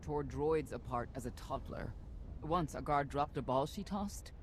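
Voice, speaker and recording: An actress playing a regretful middle-aged mother and stern veteran knight, speaking in measured, solemn phrases in a video game cutscene. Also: sits low, noisy background. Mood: pained, grave. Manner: measured, solemn, deliberate storytelling in short phrases.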